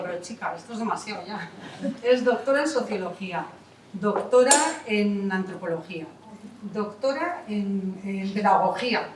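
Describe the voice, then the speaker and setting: A woman's voice: mid-range voice, slight background noise. A woman, an artistic director introducing a conference panel in a chatty way, full of fillers and self-corrections. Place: theatre hall. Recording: echoey hall, voice from far off.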